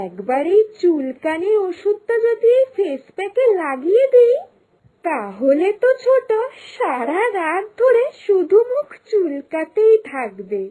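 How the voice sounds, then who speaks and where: A woman's voice: very high voice, dark tone, exaggerated voice, low-quality recording. A woman voicing a jealous, plotting elder daughter-in-law in a children's cartoon. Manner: scheming, muttering plans to herself. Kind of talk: Bengali moral-story cartoon dubbing.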